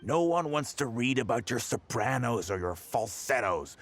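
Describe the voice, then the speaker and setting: A man's voice: medium pitch, animated, normal speed, a bit bright, faint music behind, natural voice. An actor playing a pushy, scheming male newspaper boss in a video game, talking down to people in a scornful, bullying way. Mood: dismissive. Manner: condescending, hectoring, slangy.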